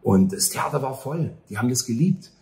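A man's voice: medium pitch, animated, fairly quick, vocal fry, a little mellow. A man in his forties giving conversational interview answers in a colloquial, slightly hesitant way. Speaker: actor and theatre director being interviewed. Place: small cafe or bar table at night. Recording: echoey room.

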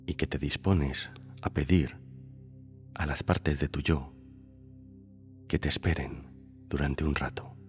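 A man leading a scripted guided meditation in calm, unhurried phrases with long pauses. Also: a bass voice. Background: background music.

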